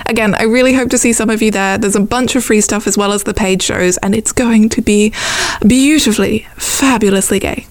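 A woman with a fairly high voice presents an enthusiastic radio promo segment. Her delivery is upbeat and emphatic, leaning on repeated words for stress. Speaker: festival curator and presenter.

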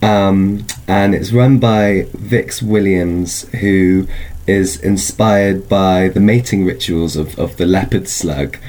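A man's voice: low-pitched, slow, a little mellow, rough recording. A man speaking as an event organiser in an informal interview, explaining things in a loose, hedging way. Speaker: film festival organiser, interview guest.

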